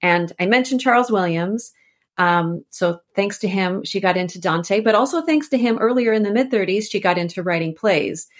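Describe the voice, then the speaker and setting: A woman's voice: mid-range voice, animated, normal speed. A woman speaking as a scholarly interview guest, explaining things informally in meandering, conversational phrasing. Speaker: scholar and author, podcast guest.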